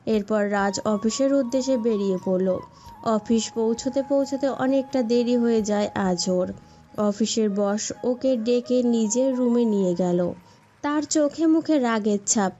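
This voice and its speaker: A woman's voice: fairly high pitch, a little slow, warm tone. A woman reading scripted narration for a romantic story as its storyteller. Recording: dull lo-fi sound, music bed.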